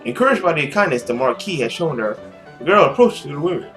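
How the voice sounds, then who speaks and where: A man's voice: a little high, a little fast, soft background music. A man hosting a gaming video, reading a visual novel aloud and adding chatty commentary. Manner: animated, chatty.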